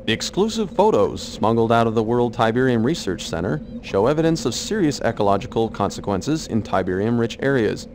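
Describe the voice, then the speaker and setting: Measured newsreader over noise. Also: male voice, mid-range voice, sing-song. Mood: grave. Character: measured, grave male television news reporter. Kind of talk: scripted newscast. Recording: clear background noise.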